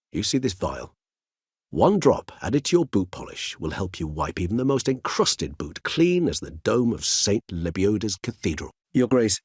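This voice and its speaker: An ancient, learned vampire gentleman for a fantasy video game. His voice sits fairly low with a soft, husky grain, recorded close and dry. He speaks in courteous, eloquent, old-fashioned sentences with measured care. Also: no echo, heavily acted. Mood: wry, persuasive, then grave. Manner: eloquent, formal, measured, archaic wording. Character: erudite, courteous, centuries-old male vampire gentleman scholar.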